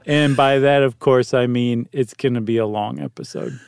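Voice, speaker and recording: A man's voice: medium pitch, fairly even intonation, normal speed, vocal fry, a little mellow, clean tone, no echo, faint music behind. A man co-hosting a podcast, chatting casually with jokes and rambling asides. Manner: joking, rambling, playful asides. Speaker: podcast co-host. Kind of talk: casual chat.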